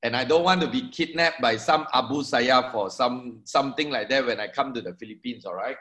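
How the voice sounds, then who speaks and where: A man's voice: mid-range voice, fairly quick, pushed and bright. A man in his forties giving an emphatic, repetitive motivational seminar talk to a live audience, explaining points as he draws them on a board. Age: 40s. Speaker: network marketing speaker. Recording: echoey room.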